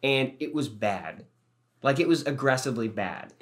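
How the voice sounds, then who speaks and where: A man's voice: mid-range voice, lively intonation, normal speed. A young man co-hosting a podcast, telling stories in a rambling, joking way and swearing often. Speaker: podcast co-host. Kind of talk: casual chat.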